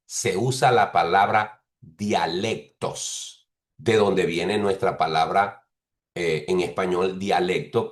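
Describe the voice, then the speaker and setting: Low-voiced male preacher in an echoey room. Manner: explains patiently, repeats and rephrases points; speaks bilingually of Spanish and English. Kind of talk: expository sermon teaching.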